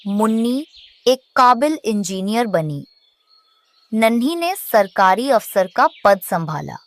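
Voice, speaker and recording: Slow, higher-pitched woman narrating a story. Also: big pitch swings, no echo. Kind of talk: scripted children's story narration.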